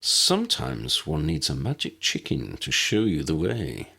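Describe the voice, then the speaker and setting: A man voicing a sage, droll uncle in an audiobook story, measured and wordy in his speech. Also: extremely low pitch, very animated, gravelly, bright, forceful tone, husky, full character voice. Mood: wry. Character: wry, formal, sage uncle.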